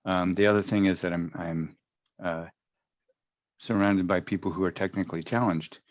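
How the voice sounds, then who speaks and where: A man on a video call, asking questions in a halting way, with many restarts and fillers. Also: low voice, fairly even intonation, no room sound, highs cut off. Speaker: church participant in a video call.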